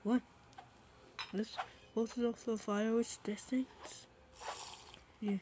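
Slow-paced high-voiced woman, noisy recording. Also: level tone. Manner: repeats short exclamations over and over. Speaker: amateur voice-over performer. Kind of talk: improvised fan dub of stickman animation. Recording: noisy amateur recording with clicks.

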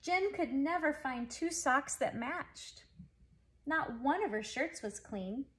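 Woman, forties, fairly high voice, animated storytime read-aloud, emphatic phrasing for kids. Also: takes it slowly, slight background noise. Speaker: storyteller reading a picture book to children. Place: living room at home.

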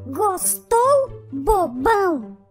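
A woman's voice: very high voice, very animated, very slow, music in the background, exaggerated voice. A woman voicing a mischievous, teasing little boy prankster in a children's cartoon. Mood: cheeky. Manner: teasing, cheeky. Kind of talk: children's cartoon voice acting in Portuguese.

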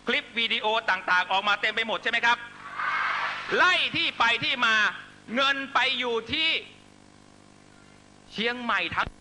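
High-pitched, forceful man giving political speech. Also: slight background noise, low-quality recording. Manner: accusatory, emphatic, punctuated with repeated rhetorical questions. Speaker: political rally speaker. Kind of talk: fiery political speech to supporters.

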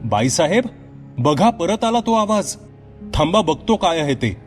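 A man's voice: a little high, big pitch swings, unhurried, soft background music, pitched above the performer's own voice. A man voicing a deferential, inquisitive bungalow watchman in a horror story, addressing an employer with respectful questions. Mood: alert. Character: deferential male bungalow watchman, curious and chatty. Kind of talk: dramatised horror story dialogue.

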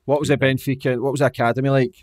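A man's voice: mid-range voice, flat delivery, rapid-fire, darkish tone. A man in his thirties hosting a podcast, asking friendly questions and swearing casually. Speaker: podcast host. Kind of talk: casual interview chat. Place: small podcast studio, brick wall. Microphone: studio mic on boom arm.

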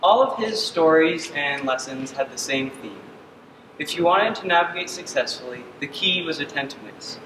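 A young man from Uganda gives an earnest student presentation, his slightly high voice dotted with ums. He is picked up from a distance in a large hall with a long, roomy reverb. Steady ambient noise sits clearly under him. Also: moderate pace. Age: young adult. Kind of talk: senior capstone presentation. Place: dark stage in a hall.

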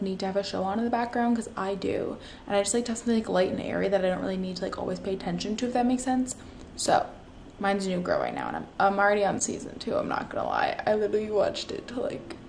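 Young female vlogger, chatty and upbeat, slight vocal fry, faint hiss in a home living room. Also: mid-range voice. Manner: chatty, upbeat, lots of "like" and "you guys". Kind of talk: casual vlog chat to camera.

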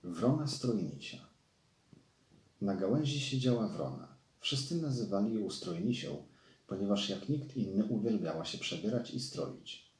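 A man's voice: fairly low pitch, lively intonation, a little slow, darkish tone. A man reading a children's fairy tale as a scripted storyteller. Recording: roomy echo.

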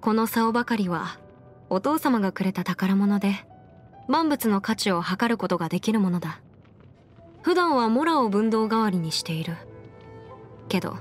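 A woman's voice: medium pitch, natural voice. A woman voicing a confident, clever young female legal advisor in an anime game, articulate and slightly boastful, with playful pride. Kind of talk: Japanese anime game character voice lines. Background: music.

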